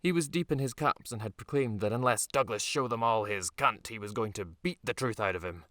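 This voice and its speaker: Low-voiced man narrating, very dry sound. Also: fairly quick, fairly bright tone, no room sound. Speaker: author reading his own novel. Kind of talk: scripted audiobook narration.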